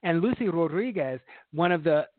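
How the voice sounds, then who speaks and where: A man co-hosting a casual talk show, telling long animated stories about his stage work. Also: on the high side, moderate pace, dry recording. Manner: animated, long-winded storytelling. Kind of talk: casual chat. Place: Los Angeles. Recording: tinny phone-like line, dry.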